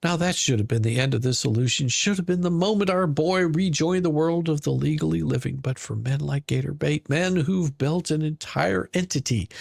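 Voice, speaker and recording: A man narrating a scripted story in a dramatic, mocking manner, with deliberate theatrical pauses. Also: medium pitch, creaky, breathy edge, no echo, studio quality. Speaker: podcast narrator.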